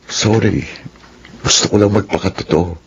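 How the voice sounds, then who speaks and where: A man's voice: deep voice, steady tone, moderate pace, creaky, dull audio, a little hiss or hum. A man playing an apologetic, earnest boyfriend in a radio drama. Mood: apologetic, pleading. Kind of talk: radio drama acting in Filipino soap.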